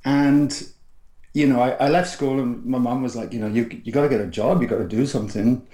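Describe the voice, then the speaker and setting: Welsh guy on a podcast, chatty and rambling, mid pitch, roomy echo. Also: fairly even intonation, fairly quick, warm tone. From South Wales. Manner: rambling, frequent restarts and fillers. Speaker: podcast guest. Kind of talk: casual storytelling interview.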